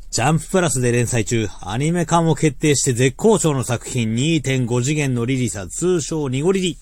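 Higher-pitched man reading a dramatic promo. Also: a little mellow, no room sound. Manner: dramatic, emphatic hype delivery. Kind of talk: scripted promotional announcement for a fan event.

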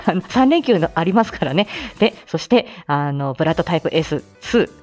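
A woman's voice: on the low side, sing-song, fairly quick, darkish tone, soft background music. A woman hosting a live morning audio show, chatting casually and reading out announcements in a bubbly manner that moves between cute and polite.

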